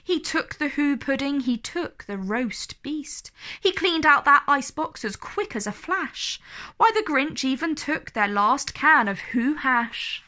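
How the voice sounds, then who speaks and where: Bright, animated woman reading stories to children. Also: high-pitched. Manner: theatrical, deliberate rhyming delivery. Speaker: storyteller for children. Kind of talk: expressive scripted children's story read-aloud.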